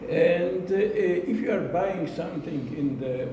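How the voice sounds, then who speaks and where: Man, slightly high-pitched, deliberate public speech, emphatic repetition, heavy room echo. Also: animated. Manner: slow, deliberate, repeats phrases for emphasis. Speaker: club speaker giving a speech. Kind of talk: prepared club speech to a live audience. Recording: echoey room, distant mic, noisy.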